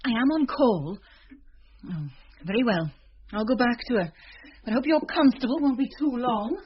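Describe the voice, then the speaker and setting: A no-nonsense lady doctor, played by an actress, fairly high-voiced and professional. She speaks in radio drama style on a dull, faintly noisy recording. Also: lively intonation, normal speed, natural voice. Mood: brisk, reluctant. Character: brisk, no-nonsense female family doctor. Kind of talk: British radio drama acting.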